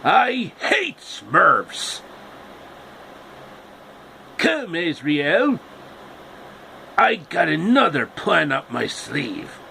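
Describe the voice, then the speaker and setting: Man doing a slow, scheming cartoon villain. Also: fairly high pitch, wildly swooping pitch, gravelly, pushed and bright, slight background noise, voice pushed up, exaggerated voice. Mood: spiteful. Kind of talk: amateur cartoon villain acting.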